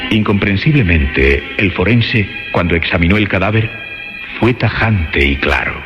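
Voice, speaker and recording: A man narrating a horror radio drama theatrically, with ominous, dramatic emphasis and pauses. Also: low-pitched, lively intonation. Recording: dull lo-fi radio sound. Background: music.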